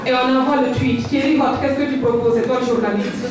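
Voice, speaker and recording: A woman's voice: high voice, fairly even intonation, fairly quick. A woman from Burkina Faso who organized the event, giving an earnest rally speech and then answering questions, often restarting her sentences. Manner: earnest and rousing, with frequent restarts. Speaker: event organizer and local councillor. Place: large indoor hall at a gathering. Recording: echoey hall, distant mic, loud crowd. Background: loud crowd.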